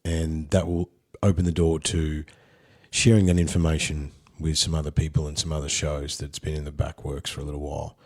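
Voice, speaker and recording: Very deep husky Australian man. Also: little pitch movement, a little fast, a little mellow. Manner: laid-back, candid, chatty. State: unwell. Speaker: podcast host. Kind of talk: solo spoken intro.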